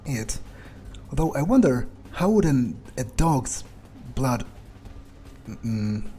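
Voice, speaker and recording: A man role-playing an arrogant, brooding vampire in a game playthrough, musing his thoughts aloud in a halting, dryly profane way. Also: medium pitch, dark tone, natural voice. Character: brooding, arrogant, blood-hungry male vampire.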